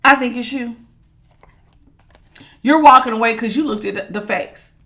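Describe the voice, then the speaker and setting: A woman's voice: a little high, moderate pace, bright, forceful tone. A woman giving a casual tarot reading to her viewers, with a strong, projecting voice and an emphatic, authoritative way of talking that repeats phrases for stress. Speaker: tarot reader.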